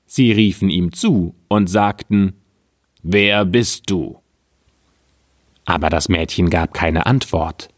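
A man reads an old fairy tale aloud in a calm, measured way. He takes his time, and his voice sits a little low. The sound is dry and close, with no room echo. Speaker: storyteller.

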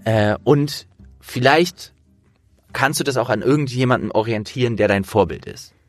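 A man's voice: medium pitch, moderate pace, dry recording, clean studio sound, faint music behind. A man chatting casually as an interviewer, with a trained voice actor's delivery. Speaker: interviewer and host.

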